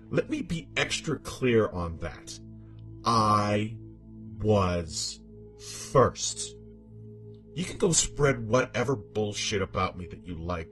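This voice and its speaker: Man voicing a haughty, vengeful demon ex-wife, theatrically animated. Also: lowish voice. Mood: haughty contempt. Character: scorned, vengeful demonic ex-wife, proud and venomous.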